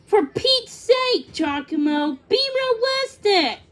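A tiny storybook girl, played by a woman, high-pitched and tender-hearted. She speaks slowly and earnestly, with pleading, sighing emotion in her words. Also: lively intonation, darkish tone. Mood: anxious, exasperated.

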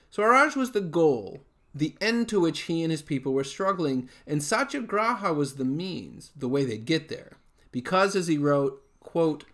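A man in his forties reads nonfiction aloud in a fairly high voice. His phrasing is measured and deliberate, in the style of an author presenting his own book. He is recorded at home, at arm's length from the microphone. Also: a bit bright. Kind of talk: read-aloud nonfiction narration. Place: small furnished room at home, indoors.

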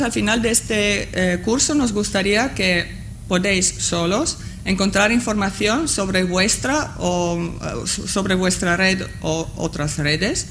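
A woman's voice: mid-range voice, fairly bright tone, a little hiss or hum. Italian woman teaching with frequent fillers. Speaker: course instructor. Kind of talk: tutorial presentation. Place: conference room, seated at panel table. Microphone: table microphone.

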